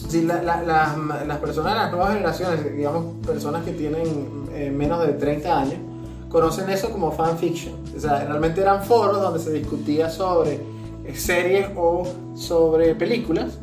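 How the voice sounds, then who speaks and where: A woman co-hosting a casual podcast, explaining things haltingly with repeated words. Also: low voice, fairly even intonation. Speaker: podcast co-host. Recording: distant echoey mic, music behind the voice. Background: plucked guitar music.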